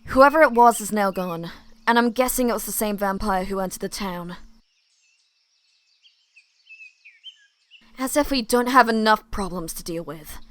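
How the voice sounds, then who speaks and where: A woman's voice: mid-range voice, brisk, fairly bright tone, close to an everyday voice. A woman playing a suspicious, secretive supernatural ally, serious and matter-of-fact, in amateur roleplay acting. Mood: wary.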